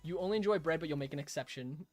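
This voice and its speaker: A hoarse young man live-streaming a game, chatting casually with viewers, chuckling and thanking them. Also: a little high, rapid-fire, darkish tone, soft background music. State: hoarse, raspy throat.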